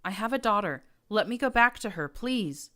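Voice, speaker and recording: A frightened pony mother begging for her life, her voice shaky and tearful, as a woman puts her on. Pitched well above the narrator's own low voice, bright and thin, from a storybook horror scene. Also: lively intonation, normal speed, lightly acted. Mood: pleading. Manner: shaky, pleading, sobbing. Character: terrified female pony captive, a mother.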